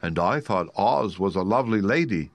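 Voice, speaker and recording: A man's voice: low voice, expressive, normal speed. A man voicing a plaintive, grieved scarecrow in a storybook tale. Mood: insistent. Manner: plaintive, wailing.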